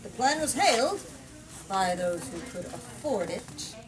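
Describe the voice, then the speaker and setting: A woman storyteller reading a novel aloud to listeners with dramatic, deliberate phrasing. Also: medium pitch, sing-song. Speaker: storyteller, book talk leader. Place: bookstore. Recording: distant mic, clear background noise.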